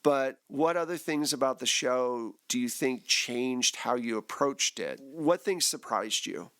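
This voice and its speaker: A man's voice: mid-range voice, a little slow, fairly bright tone. Man hosting a casual podcast discussion. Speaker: host, game master of an actual play podcast. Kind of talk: casual group interview.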